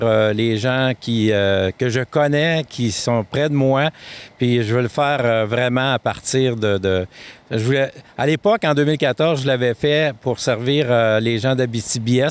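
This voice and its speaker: A man with a Québécois French accent, a political candidate answering interview questions in a measured way, with frequent hesitations. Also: mid-range voice, clear, resonant tone.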